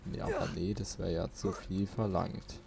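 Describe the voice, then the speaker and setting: A man's voice: deep voice, little pitch movement, normal speed, gravelly, a little mellow. A man giving casual let's play commentary, grumbling to himself and swearing mildly. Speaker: let's player. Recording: noisy, game sound behind voice. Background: video game ambience.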